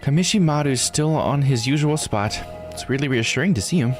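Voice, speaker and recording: A man's voice: medium pitch, lively intonation, a little fast, roomy sound, big-room sound, music in the background, pitched above the performer's own voice, lightly acted. A man voicing an uneasy but determined young woman in a visual novel, reading her inner thoughts aloud in an earnest way. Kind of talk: dramatic first-person visual novel read-aloud.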